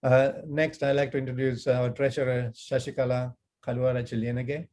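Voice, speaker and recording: Mellow Sri Lankan man moderating. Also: medium pitch, flat delivery, normal speed. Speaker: moderator introducing board members. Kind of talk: informal webinar hosting. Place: video call webinar.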